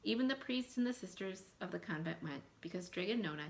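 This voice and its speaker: A woman's voice: mid-range voice, lively intonation, fast, a little hiss or hum. A woman giving school announcements and reading a storybook aloud to young children. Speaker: elementary school staff member reading aloud.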